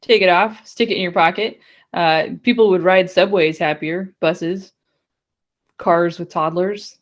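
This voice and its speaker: A woman's voice: on the low side, steady tone, unhurried. A woman co-hosting a casual podcast, chatty and rambling with frequent laughs. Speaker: podcast co-host. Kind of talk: casual banter.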